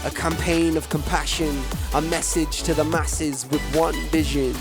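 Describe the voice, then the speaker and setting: A narrator delivers a spoken-word piece in a steady, declamatory rhythm. The voice sits at a middle pitch. A clear hip hop beat plays underneath. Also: a little slow. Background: hip hop music.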